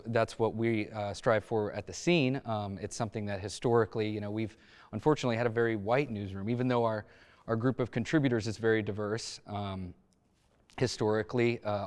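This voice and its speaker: A man speaking as a journalist on a discussion panel, feeling out his phrases in a halting, measured way. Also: fairly low pitch. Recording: long echoing reverb.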